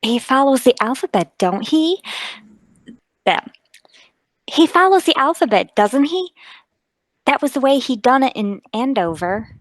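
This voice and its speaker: Woman playing a timid, high-voiced young waitress. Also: animated, measured pace, pauses often, no echo. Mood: anxious, frightened. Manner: hesitant, deferential, anxious. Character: nervous, timid young waitress, deferential and frightened. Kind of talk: radio mystery drama table read.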